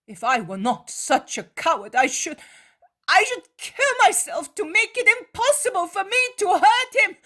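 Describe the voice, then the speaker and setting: A woman voicing a guilt-ridden, torn male disciple who pleads in high-strung outbursts. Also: very animated, measured pace, bright, forceful tone, heavily acted. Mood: despairing.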